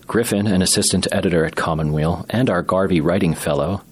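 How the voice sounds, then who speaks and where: A man hosting a magazine podcast, reading scripted links and asking measured questions of his guests. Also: low-pitched, slightly rough. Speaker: podcast host and interviewer. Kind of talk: scripted podcast narration and interviewing.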